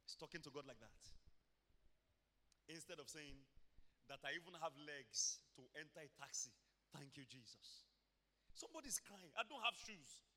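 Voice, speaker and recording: A man preaching an energetic sermon in a church, swinging from quiet asides to shouted exclamations and acting out voices. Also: on the high side, normal speed, long pauses. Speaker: pastor. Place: church hall.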